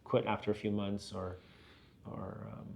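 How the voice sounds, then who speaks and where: Male, middle-aged, lower register. Interviewed leader, reflective and conversational, halting as he explains point by point. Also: little pitch movement, gravelly, a little mellow, a little hiss or hum. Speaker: business and church leader being interviewed. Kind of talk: casual reflective interview talk.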